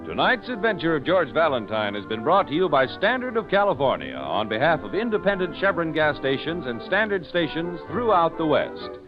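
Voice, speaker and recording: A man's voice: on the high side, sing-song, moderate pace, hardly any pauses. An American man announcing a 1940s radio show in a polished, emphatic, scripted sales style. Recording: dull 1940s radio recording with music.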